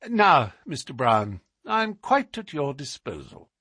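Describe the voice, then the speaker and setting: A man's voice: fairly high pitch, sing-song, a little slow, bright, forceful tone, husky, no room sound, full character voice. A man voicing a self-assured, courteous but commanding detective in a narrated mystery story. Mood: suavely polite.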